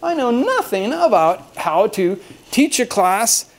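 Higher-pitched American man giving an informal talk. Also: big pitch swings, takes it slowly. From Austin, Texas, United States. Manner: self-deprecating, rambling, jokey storytelling. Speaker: university lecturer giving a talk. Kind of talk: informal humorous lecture to colleagues.

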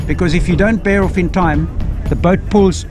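A man's voice: high-pitched, steady tone, moderate pace, loud music behind the voice. A man teaching a sailing crew on a boat, calling out commands and explaining each manoeuvre. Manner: calls out commands, coaching and explaining. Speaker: sailing instructor. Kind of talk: on-the-water instruction. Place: on a sailboat deck in strong wind, outdoors.

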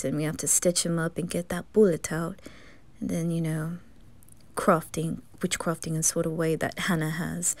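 A woman voicing a composed male demon butler in an ASMR fan roleplay, speaking softly, haltingly and reassuringly. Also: lowish voice, creaky.

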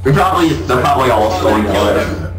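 A man's voice: medium pitch, expressive, racing. A man playing squad leader in an online roleplay game, casually giving orders over voice chat. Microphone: gaming voice chat microphone. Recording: echoey game voice chat over loud music.

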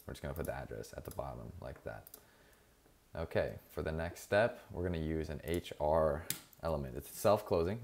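A man narrating a screen-recorded coding tutorial in a casual, relaxed way, with frequent fillers and self-corrections. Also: deep voice. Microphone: voice-over over screen recording.

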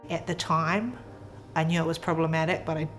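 A woman in her twenties with a New Zealand accent, reflecting quietly in a documentary interview. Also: deep voice, fairly even intonation, brisk. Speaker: athlete. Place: indoor room with framed photos. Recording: music underneath.

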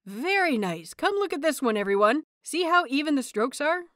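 A woman voicing a cheerful young man who teaches small children, praising and instructing them brightly in an audio story. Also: high-pitched, sing-song, normal speed, no echo, clean studio sound, pitched above the performer's own voice. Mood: bright, eager.

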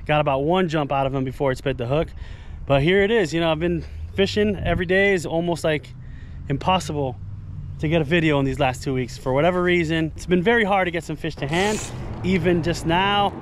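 A man in his thirties vlogging while fly fishing outdoors by a lake, recorded on an action camera, talking casually and getting excited when fish show up. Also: a little high, a little fast, slight background noise. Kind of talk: casual vlog narration.

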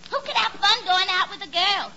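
1940s radio comedy: vain, dim young woman, shrill-high, swooping delivery, noisy old recording. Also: animated, brisk, highs cut off. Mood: chatty. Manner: brash, gabby.

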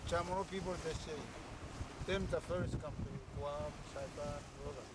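An older man, a master navigator whose first language is Chuukese, speaking haltingly in English in a casual chat on a sailboat at sea. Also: on the high side, fairly even intonation. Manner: halting, with frequent pauses and fillers. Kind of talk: casual conversation. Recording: loud wind and sea noise.

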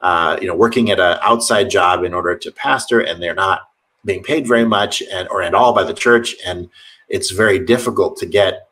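Earnest low-voiced pastor rambling on a call. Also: male voice, normal speed, a bit bright. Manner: earnest, rambling with many restarts. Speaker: pastor, guest. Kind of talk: video call conversation. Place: home office with bookshelves.

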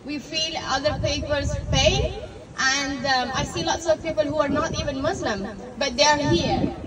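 A woman's voice: sits high, fairly even intonation, normal speed. A woman from Afghanistan in her thirties gives a heartfelt, hesitant speech into a handheld microphone at an outdoor rally. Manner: heartfelt, earnest, hesitant with fillers. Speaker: rally speaker. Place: outdoor city plaza at a rally. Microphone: handheld microphone through loudspeakers, filmed from a distance. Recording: distant, echoing public-address sound outdoors. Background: crowd and traffic.

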